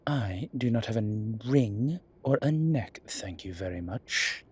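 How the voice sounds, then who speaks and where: Male actor as a sardonic vampire deacon for roleplay audio: lowish, husky, theatrical, protective and dryly witty. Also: animated, measured pace, darkish tone. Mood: dry teasing. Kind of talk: ASMR roleplay audio drama addressed to the listener.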